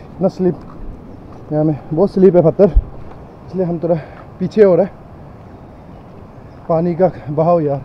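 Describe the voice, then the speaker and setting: A man's voice: a little high, a little hiss or hum. A man, giving casual, exclamatory vlog commentary outdoors, often repeating his phrases. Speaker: vlogger. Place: outdoors on a rocky riverbank. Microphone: handheld camera microphone. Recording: dull audio, loud rushing river water. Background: rushing river water.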